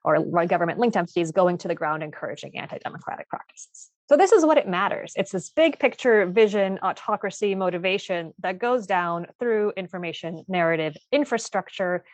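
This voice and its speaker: A woman giving a panel presentation, talking haltingly with many fillers and restarts. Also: fairly low pitch, dry recording.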